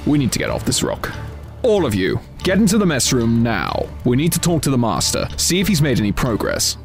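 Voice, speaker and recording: Guy playing a curt commanding soldier in an audio drama, suspicious, really swinging pitch, music under. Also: wildly swooping pitch, a little fast, gravelly. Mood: defiant, commanding. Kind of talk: full-cast audio drama acting.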